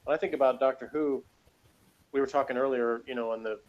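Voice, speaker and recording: A man's voice: medium pitch, fairly even intonation, quick pace, lo-fi sound. A man in a casual debate about a TV episode, arguing his case point by point. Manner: argumentative, reasoning point by point. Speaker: fan commentator.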